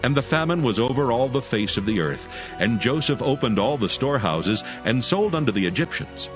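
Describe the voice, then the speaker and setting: A man narrating scripture as a dramatized audio story in a formal storytelling style. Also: on the low side. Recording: music behind the voice.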